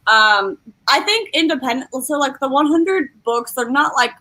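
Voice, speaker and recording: A woman's voice: high-pitched, expressive, normal speed, bright, forceful tone, low-quality recording. A woman in a casual group chat about books, explaining her opinions in a chatty way. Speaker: book discussion participant.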